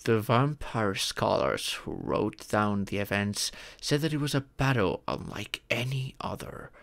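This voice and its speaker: A man playing a fatherly dragon mentor in an ASMR fantasy roleplay, patiently telling stories and teaching magic in a gentle, measured, instructive way. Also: lowish voice, vocal fry, full character voice. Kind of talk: ASMR audio roleplay, scripted fantasy storytelling.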